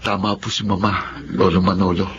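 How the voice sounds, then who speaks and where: A man's voice: low voice, flat delivery, moderate pace, noisy background, lightly acted. An actor playing an earnest, bewildered young man, a son, in a radio drama. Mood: sorrowful. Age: young adult. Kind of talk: Tagalog radio drama acting. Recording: muffled radio drama, music behind voice.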